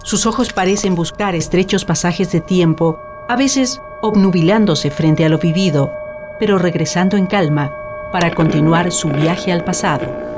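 A radio drama narrator delivers scripted narration. Music plays clearly underneath the voice. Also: medium pitch, normal speed. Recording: music behind the voice.

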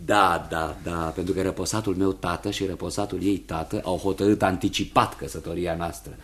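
An actor playing an earnest, carefree young engineer engaged to be married, in a radio theatre style. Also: male voice, low voice, fairly even intonation, lightly acted. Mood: rueful.

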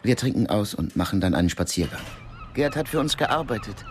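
Commanding spy,, fairly deep voice, controlled, radio drama, some ambient noise. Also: male voice, big pitch swings, fairly quick. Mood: frank. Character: controlled, commanding male secret agent. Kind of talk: naturalistic radio drama acting.

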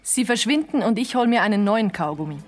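A woman's voice: mid-range voice, animated, fairly quick, faint music behind, close to an everyday voice. An actress playing a cheeky young woman in a radio play, flippant, cool and unimpressed. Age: young adult.

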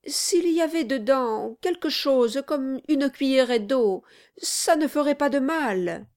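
A woman voicing a servile, flattering male lawyer in an audiobook, obsequious and deferential. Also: high-pitched, takes it slowly, voice pushed up, exaggerated voice. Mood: meekly willing. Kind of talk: dramatized audiobook reading.

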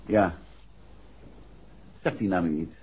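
An actor in a 1960s Dutch radio drama, playing a composed, courteous and astute gentleman detective who talks in polite, measured questions. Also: sits low, husky, heavily acted. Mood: politely probing. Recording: dull, noisy 1960s radio recording.

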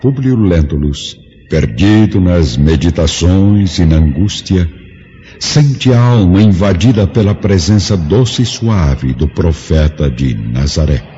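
A man's voice: low voice, expressive, takes it slowly, mellow, slightly rough. A man narrating a radio drama in a solemn, literary, dramatic scripted style. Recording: dull lo-fi radio drama, music behind.

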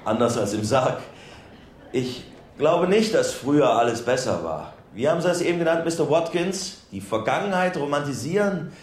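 A man acting an ordinary middle-aged townsman in a radio play, making an unpolished, earnest speech. His voice sits a little high and rings through an echoey hall. He is humble and a reluctant speaker. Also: lively intonation, normal speed, natural voice. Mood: nervous, earnest. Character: plain-spoken ordinary Welsh townsman, humble reluctant political candidate. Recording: echoey public hall sound.

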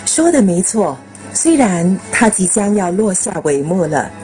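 Slow, mellow woman on radio. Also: medium pitch, big pitch swings, faint music behind. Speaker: radio host. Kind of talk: scripted farewell narration.

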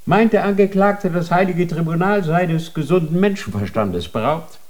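A man's voice: sits high, animated, moderate pace, darkish tone. An actor playing a stern male inquisitor of a holy tribunal, interrogating in a cold, formal manner in a radio drama.

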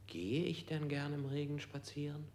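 A man's voice: on the high side, little pitch movement, moderate pace, heavy background noise, natural voice. An actor playing a bewildered man in a stylized radio play, answering hesitantly and echoing the questions put to him. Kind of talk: stylized radio drama acting.

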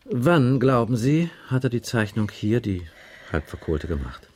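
An actor playing a stern detective inspector in a German radio crime drama, questioning in an insistent, clipped, probing manner. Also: male voice, mid-range voice, very animated, measured pace, a little mellow, slightly rough. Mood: pressing, reproachful.

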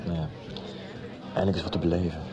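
An actor playing a calm, wry man who reassures others. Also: deep voice, fast, natural voice. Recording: lo-fi street scene with crowd.

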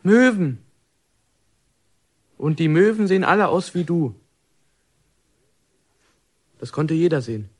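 A male actor playing a cowed, reluctant boy in a German radio drama, hesitant and evasive, with a husky voice. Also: a little high, sing-song, slow pace, pauses often, heavily acted. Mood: evasive, subdued. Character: cowed, reluctant boy under his father's questioning.

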